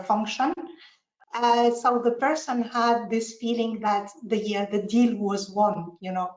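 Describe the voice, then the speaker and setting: A woman speaking on a webinar panel as a panelist, telling her story with many fillers and slightly non-native phrasing. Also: medium pitch. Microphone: video call. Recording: echoey room, muffled online audio.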